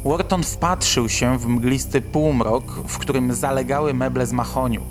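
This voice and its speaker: A man's voice: mid-range voice, moderate pace, over music. A man reading scripted literary narration for a radio drama.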